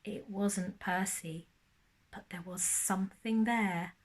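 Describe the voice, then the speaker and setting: A woman narrating a children's storybook like a primary school teacher, expressive and lingering on key words. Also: mid-range voice, slow pace.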